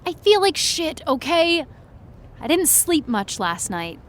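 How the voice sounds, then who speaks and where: A woman's voice: high voice, very animated, slow, exaggerated voice. A young woman playing an anxious, miserable eighteen-year-old in an audio drama, glum, sarcastic and self-dramatising. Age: young adult. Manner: glum, sarcastic, rambling complaints. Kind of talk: naturalistic audio drama acting.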